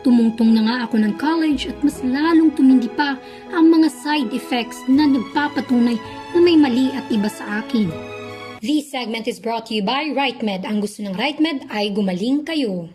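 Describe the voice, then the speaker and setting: A young woman plays a Filipino radio narrator reading a confessional love letter. The voice is fairly high, earnest and measured, in scripted drama style. Music plays clearly behind it. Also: close to an everyday voice. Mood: sponsor read. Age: young adult.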